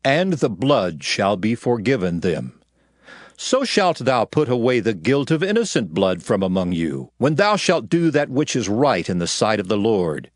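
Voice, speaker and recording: A man's voice: fairly low pitch, sing-song, unhurried, no echo, clean studio sound. A man narrating scripture in a solemn, formal manner as part of a dramatized reading.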